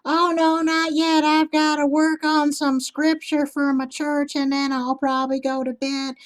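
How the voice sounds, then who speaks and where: A woman's voice: sits high, level tone, a little slow. A woman putting on a flirty, drawling country lady who calls the caller sweetheart, as a scam baiter.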